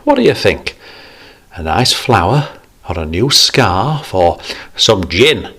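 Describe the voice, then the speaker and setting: A man's voice: on the low side, very animated, slow, fairly bright tone, full character voice. A man voicing a jovial, preachy older man, raising his voice above his narration. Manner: pleading, then cheery and coaxing.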